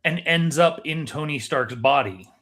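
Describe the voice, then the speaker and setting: A man talking casually as a podcast co-host, explaining things in a measured way. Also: a little high, a bit bright. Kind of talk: casual movie chat.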